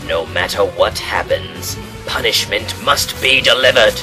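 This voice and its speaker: A man's voice: fairly low pitch, expressive, a little slow. A man playing a grim, vengeful villain who preaches justice with solemn menace in a fantasy audio drama. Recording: loud music behind.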